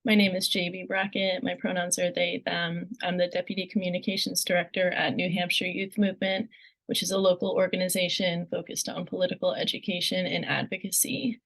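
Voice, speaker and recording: A woman's voice: fairly low pitch, flat delivery, brisk, vocal fry, fairly bright tone, rough recording. A woman from Florida who is losing their voice, reading a prepared statement on a press call. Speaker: communications director. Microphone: virtual call.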